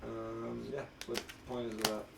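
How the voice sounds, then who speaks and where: A man's voice: mid-range voice, monotone, moderate pace, creaky. A man chatting casually about household things, picked up off-mic in the background of a game stream. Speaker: streamer's partner. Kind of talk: casual domestic chat. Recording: off-mic, roomy, under loud music. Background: game music.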